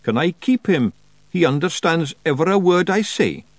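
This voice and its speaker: A man's voice: on the high side, expressive, normal speed, no echo, voice pushed up. A man voicing a small, cheeky male pixie who answers nervously and evasively. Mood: wheedling. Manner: shifty, evasive.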